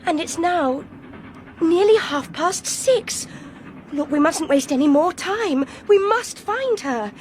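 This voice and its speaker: An actress playing an earnest, highly strung young Englishwoman in a radio drama, with an English accent. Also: lively intonation, slow pace, darkish tone, slight background noise, exaggerated voice. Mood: anxious pleading. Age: young adult.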